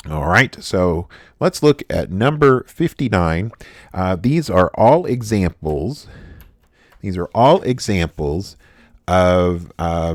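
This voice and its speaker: A chemistry instructor from the United States giving a recorded lecture, halting and full of "uh" fillers and restarts. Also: male voice, low voice, no echo, clean studio sound.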